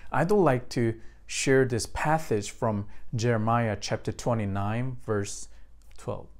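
A man's voice: medium pitch, a little mellow. A man with a Korean accent, a native Korean speaker, preaching as a pastor and addressing his congregation directly. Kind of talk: sermon.

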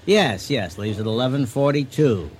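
A man's voice: medium pitch, animated, normal speed, darkish tone, natural voice. An actor in a 1980 radio drama playing a polite, officious male airline desk clerk who takes his time. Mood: calm, officious. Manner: courteous, officious. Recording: vintage radio drama, faint ambient noise.

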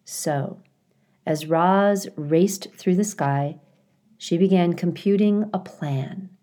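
A woman reading aloud as a storyteller, in an expressive style suited to a children's audiobook. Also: lowish voice, takes it slowly. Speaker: story reader, school staff.

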